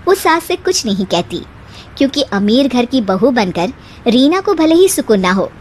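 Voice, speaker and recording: A woman's voice: fairly high pitch, big pitch swings, moderate pace, darkish tone, slight background noise. A woman storyteller reading a scripted moral story.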